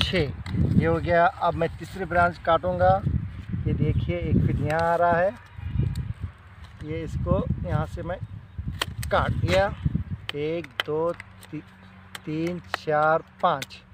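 A man's voice: on the high side, little pitch movement, slow pace, long pauses. A middle-aged man gives an informal, step-by-step farming demonstration, repeating himself as he shows each step. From India. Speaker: fruit farmer presenting a tutorial. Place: outdoors in an open farm field. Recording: clear outdoor background noise.

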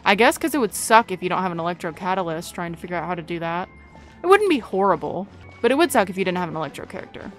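A woman's voice: fairly low pitch, expressive, fast. A young woman giving casual, informal playthrough commentary, chattily thinking aloud as she plays. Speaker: gaming video creator. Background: game music.

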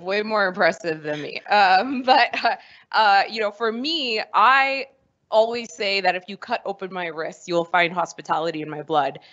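A woman's voice: mid-range voice, a bit bright. A woman executive speaking on a panel in a conference room, upbeat and friendly. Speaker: panelist, asset management executive. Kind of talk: panel discussion. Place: hotel conference room with an audience. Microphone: handheld microphone. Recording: dull lo-fi sound.

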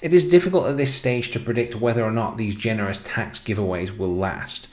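A man with a fairly low voice presents a scripted explainer. He reads in a measured way and announces his points with emphasis. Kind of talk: scripted explainer narration over slides.

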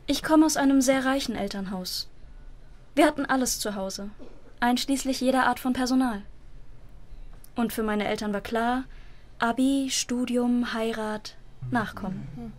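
A woman acting a frank young woman from a rich home in an audio drama, speaking in short measured phrases. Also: a little high, lightly acted. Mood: frank, slightly nervous. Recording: faint noise and room ambience.

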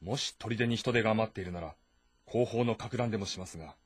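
A man playing a respectful, frank young officer of ancient China with a husky voice, formal and direct. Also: fairly low pitch, a little fast, dry recording. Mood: earnest. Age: young adult. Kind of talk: dramatic game voice acting.

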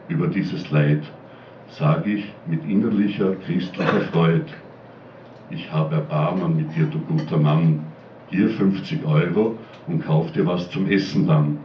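A man's voice: low voice, a little hiss or hum. A man reciting a rhymed dialect poem at a pub slam. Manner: sing-song rhyming delivery. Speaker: slam poet. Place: small pub stage. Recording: roomy distant lo-fi camcorder sound.

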